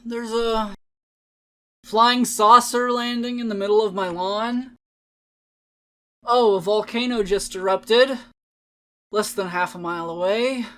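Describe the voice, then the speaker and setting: A woman voicing a bored, weary child in a dramatic poem reading, deadpan and listless with drawn-out words. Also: a little high. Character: bored, weary child oblivious to wild wonders.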